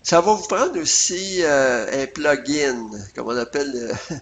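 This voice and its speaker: A man's voice: on the high side, animated, measured pace, fairly bright tone, slight background noise. A man with a Quebec French accent narrating a casual screencast tutorial step by step, with some hesitation, on a USB computer microphone. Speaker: software tutorial presenter.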